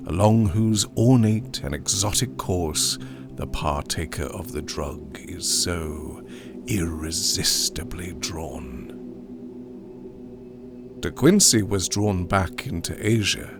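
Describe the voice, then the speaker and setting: A man performing a haunted survivor in a dramatic gothic horror audiobook, grave and theatrical, with hushed near-whispered phrases. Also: deep voice, animated, takes it slowly, vocal fry, exaggerated voice. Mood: ominous. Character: haunted male survivor of an opium overdose, recounting horror. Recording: studio narration over music.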